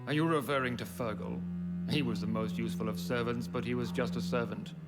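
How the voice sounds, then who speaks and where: A man's voice: medium pitch, steady tone, close to an everyday voice. Man acting a pompous British vampire lord. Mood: persuasive. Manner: pompous, courtly, measured, condescending. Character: haughty, silky, centuries-old aristocratic vampire lord. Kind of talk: video game voice acting. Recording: orchestral music behind.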